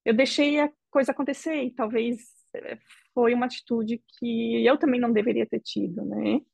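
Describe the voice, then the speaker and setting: Woman, from Brazil, gravelly, slightly high, measured testimony, reflective. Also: steady tone, darkish tone, no room sound. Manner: measured, reflective, frequent "né?" tag. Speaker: interviewee. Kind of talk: emotional personal testimony.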